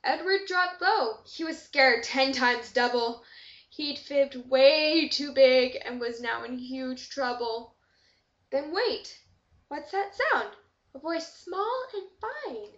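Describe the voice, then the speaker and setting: High-voiced woman reading a storybook aloud. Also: animated, takes it slowly, a bit bright. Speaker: storybook reader. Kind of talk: expressive children's story read-aloud. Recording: dull echoey amateur room recording.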